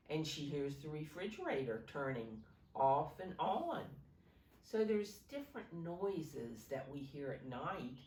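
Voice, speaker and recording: A woman reading a picture book aloud to young children as a teacher, pausing to explain simply and ask them questions. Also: low-pitched. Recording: roomy echo, distant from microphone.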